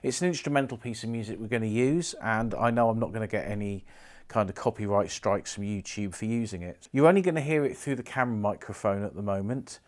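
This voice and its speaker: A middle-aged British man giving an informal, unhurried hands-on commentary, explaining things step by step as he works. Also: fairly low pitch. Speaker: presenter of a repair video, former radio engineer. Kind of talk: casual hands-on demonstration commentary.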